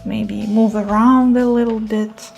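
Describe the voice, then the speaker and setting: Slow, mellow woman guiding a meditation. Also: fairly high pitch, fairly even intonation, vocal fry, muffled recording, soft background music. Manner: soothing, unhurried, gentle pauses. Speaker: meditation guide. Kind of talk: guided meditation.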